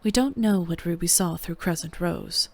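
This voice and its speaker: Woman acting a composed low-voiced female mentor. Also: vocal fry. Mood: calm, explaining. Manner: measured, explanatory. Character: composed, authoritative adult female mentor, patient and stern. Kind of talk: fan dub voice acting.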